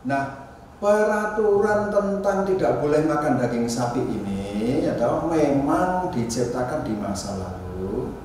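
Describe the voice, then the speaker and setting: A man's voice: medium pitch, expressive, darkish tone. Echoey, distant male lecturer. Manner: explanatory, deliberate phrasing with frequent check-in tags. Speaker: university anthropology lecturer. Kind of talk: informal academic lecture. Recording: echoey room, distant mic, noisy.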